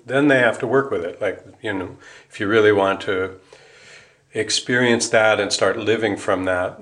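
A man's voice: lowish voice, a bit bright. An American man answering interview questions in a reflective, informal way, explaining ideas as he thinks aloud and rephrases. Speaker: interview guest, musician and Buddhist priest.

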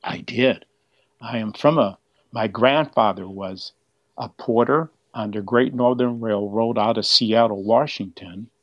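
Older man, lowish voice, muffled line. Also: unhurried, no room sound. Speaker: museum exhibit curator, interview guest. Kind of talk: explanatory interview talk.

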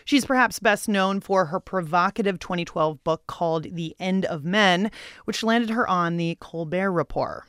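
A woman's voice: fairly low pitch, moderate pace, fairly bright tone, clean tone, no echo, clean studio sound. Chatty woman hosting a radio show. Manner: casual, slangy, addresses listeners as pals.

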